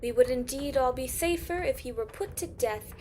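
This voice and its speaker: A woman voicing a haughty siren empress in a fantasy audio drama, regal, theatrical and deliberate. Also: high voice, warm tone, slight background noise, close to an everyday voice. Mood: coolly weighing.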